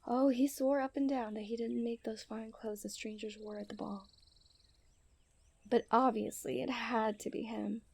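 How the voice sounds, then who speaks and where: A young woman playing a coy, refined and tender princess in a romantic ASMR roleplay, speaking in playful storybook diction that often softens to a near-whisper. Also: fairly high pitch, fairly even intonation, moderate pace, gravelly, breathy edge, no room sound. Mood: playful, knowing. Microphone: close studio-style microphone.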